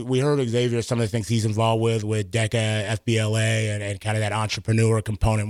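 A man's voice: fairly low pitch, no room sound. A man hosting a live radio interview from a studio, speaking into a close mic. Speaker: radio show host.

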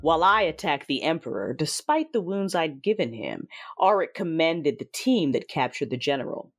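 An actor playing a cruel, vengeful Sith lord, narrating an audio drama in a deliberate, commanding, menacing way with a husky voice. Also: medium pitch, very animated. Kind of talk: first-person audio drama narration with dialogue. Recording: dramatic music behind the voice. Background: music.

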